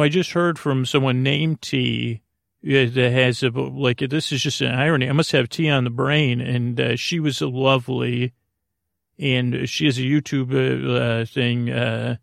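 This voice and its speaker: A lower-pitched to mid-range man with a creaky, gravelly voice, recorded close and dry. He hosts a sleep podcast with a halting, absent-minded bedtime ramble, stammering and trailing off as he wanders through whimsical thoughts. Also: dry recording. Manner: halting, stammering, meandering speech with trailing-off sentences; whimsical, absent-minded musing to an imaginary listener. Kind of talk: rambling, improvised bedtime monologue.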